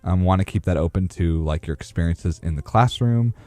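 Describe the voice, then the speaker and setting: Young male interviewer, very low relaxed voice, informal, halting phrasing. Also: dry recording. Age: young adult. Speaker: podcast host. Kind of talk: casual unscripted interviewing.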